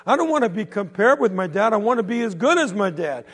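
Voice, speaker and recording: A man's voice: on the high side, animated, normal speed, vocal fry, breathy edge, in a large hall, full character voice. A man playing an exasperated teenage boy. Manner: pleading, exasperated. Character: angry fourteen-year-old boy fiddler.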